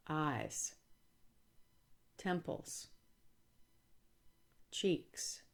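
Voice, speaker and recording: Female guided-meditation voice, low register, unhurried pace, calm and gentle instructional delivery. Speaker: yoga instructor.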